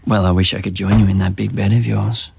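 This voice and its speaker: A man's voice: on the low side, level tone, brisk, gravelly, background noise. An actor playing a tired, guarded young man with an Australian accent in a naturalistic audio drama. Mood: tender, relieved. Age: young adult. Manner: dry, sarcastic, defensive.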